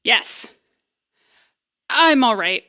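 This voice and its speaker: A woman's voice: fairly high pitch, lively intonation, extremely slow, pushed and bright, heavily acted. A woman playing a nervous, sarcastic, rambling repairperson in a comedy horror audio drama. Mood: awkward, nervous. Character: flustered, wisecracking woman bluffing as a repairperson. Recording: faint music behind.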